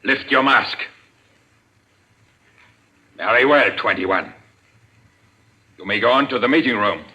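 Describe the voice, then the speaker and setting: The commanding chief of a secret criminal society in an old-time radio drama. His voice is bright and forceful at a medium pitch. He speaks formally, with cold authority. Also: slow pace, low-quality recording. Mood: curt, commanding.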